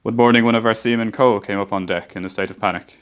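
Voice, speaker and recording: Guy playing a sailor telling the old voyage story, low voice, grave and steady. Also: fast, clear, resonant tone, natural voice. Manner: grave, reflective narration. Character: male ship's engineer recalling the voyage. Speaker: narrator. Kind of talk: scripted radio play narration.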